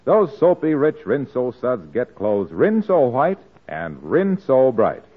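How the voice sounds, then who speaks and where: A man's voice: mid-range voice, big pitch swings, slow pace, slightly rough. A man working as a 1940s radio announcer, selling with an emphatic, scripted delivery. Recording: tinny, narrow-band old radio recording.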